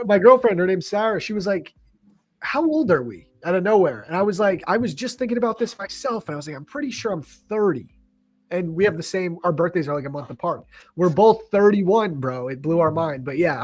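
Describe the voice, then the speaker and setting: Upbeat man in his thirties, higher voice. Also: brisk. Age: 30s. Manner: upbeat, chummy, says bro a lot. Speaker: livestream host. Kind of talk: casual livestream chat.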